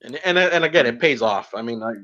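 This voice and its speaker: A man hosting a casual podcast chat, halting and repeating words as he reasons aloud, on slightly dull audio. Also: medium pitch, animated, a bit bright. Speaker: podcast host.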